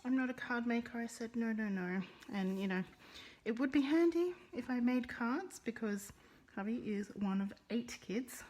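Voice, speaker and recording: A woman's voice: a little high, moderate pace, a little mellow. Chatty woman with a Russian accent. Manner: chatty, greets viewers, rolls her R's. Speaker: card-making teacher. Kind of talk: live-streamed craft lesson.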